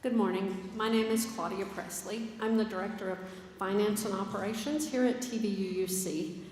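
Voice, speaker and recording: A woman explaining, clearly and deliberately, how to give to a church congregation. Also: medium pitch, fairly even intonation, darkish tone, a little hiss or hum. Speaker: finance and operations director. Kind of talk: church announcement. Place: large church sanctuary. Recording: echoey hall with long reverb.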